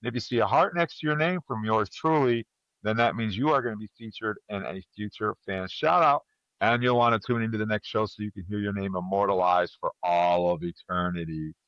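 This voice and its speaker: A male podcast host with a fairly low voice runs through casual announcements, informal and playfully grand. The sound is dry and a little dull. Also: fairly quick, fairly bright tone, dry recording. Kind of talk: casual show introduction and announcements.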